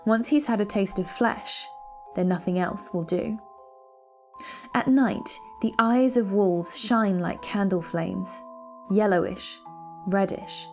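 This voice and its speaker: Woman reading dark fiction, ominous and deliberate. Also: medium pitch. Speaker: reader of a short story. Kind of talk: dramatic literary storytelling, scripted narration. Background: background music.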